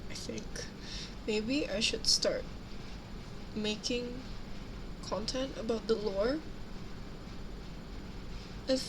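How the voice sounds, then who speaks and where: A woman's voice: fairly high pitch, background noise. Chatty young woman streamer. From Indonesia. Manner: chatty, switches between two languages, giggly asides. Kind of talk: casual livestream chat while painting miniatures.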